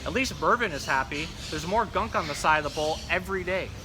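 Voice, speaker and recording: A man's voice: a little high, lively intonation, brisk, over music, close to an everyday voice, poor audio. A man voicing an exasperated, fussy pet goldfish in a children's storybook read-aloud, with dry, grumbling delivery. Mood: exasperated, frazzled.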